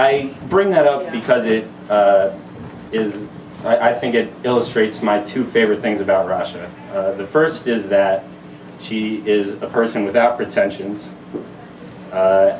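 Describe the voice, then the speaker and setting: A man in his thirties giving a casual speech to his new wife at a party, halting with many fillers and laughing asides. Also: on the low side, a little mellow. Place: party venue with crowd. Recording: echoey room, mic at a distance, background noise.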